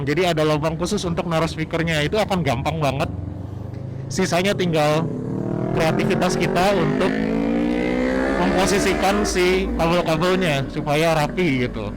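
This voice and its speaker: Man talking loudly while riding a motorbike. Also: a little high. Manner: raised voice over riding noise. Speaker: product reviewer. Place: on a motorbike outdoors, wearing a helmet.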